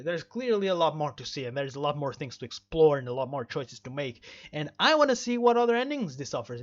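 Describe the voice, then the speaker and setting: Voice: medium pitch, highs cut off. A game streamer giving rambling let's play commentary in an emphatic, mock-stern way, often repeating phrases. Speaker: video game streamer and host.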